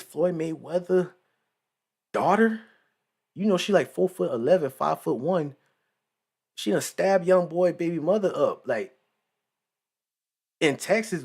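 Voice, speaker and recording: A man's voice: on the high side, darkish tone. A man in his late twenties giving rambling, slangy casual commentary with lots of asides. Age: 20s. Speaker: commentary channel host.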